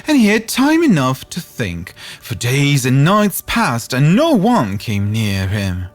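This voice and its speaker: A man narrating a scripted fairytale as an expressive storyteller. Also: mid-range voice, slow pace, soft background music.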